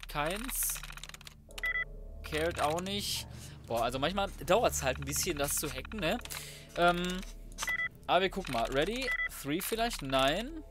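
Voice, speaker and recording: A man's voice: a little high, expressive, a little slow, pauses often, noisy background. A young adult man giving casual, slangy let's play commentary, often muttering to himself as he plays. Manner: colloquial, slangy muttering to himself while playing. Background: loud game music, typing and gunfire.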